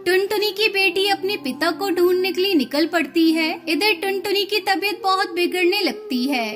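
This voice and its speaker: A woman's voice: extremely high pitch, normal speed, fairly bright tone, faint music behind. A woman narrator reading a scripted children's cartoon story.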